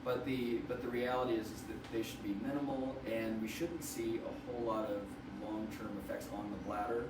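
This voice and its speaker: Hoarse guy doctor walking through treatment step by step, noisy room sound. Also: on the low side, flat delivery. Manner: measured, restarts phrases often. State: hoarse from wildfire smoke. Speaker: radiation oncologist. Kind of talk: explaining to patients. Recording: roomy, from far off, noisy.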